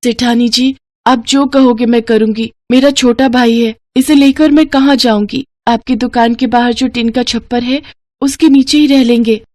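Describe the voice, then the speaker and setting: A woman's voice: a little high, fairly even intonation, moderate pace, darkish tone, no room sound, voice pushed up, lightly acted. A woman voicing a poor, protective big sister in a moral story, speaking in an earnest, pleading way. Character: poor, caring big sister scrap-picker girl. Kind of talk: moral story character acting.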